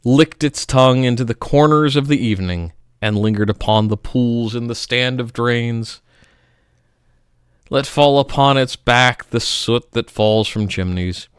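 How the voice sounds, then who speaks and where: A man giving a melodramatic poetry reading, with dramatic pauses and emphatic swells that fall away to hushed line endings. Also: mid-range voice, clear, resonant tone, no echo, studio quality.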